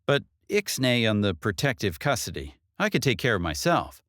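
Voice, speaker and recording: A man's voice: lowish voice, very animated, moderate pace, a bit bright, no echo, studio quality. A man voicing a wry, defiant woman under threat in an audiobook, plain-spoken and guarded.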